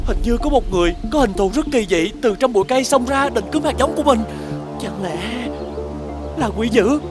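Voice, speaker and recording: A woman's voice: on the high side, expressive, a little fast, lightly acted. Earnest cartoon boy over music, played by a woman. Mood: alarmed, puzzled. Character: earnest, determined young boy, devoted little brother. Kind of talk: animated fairy tale voice acting.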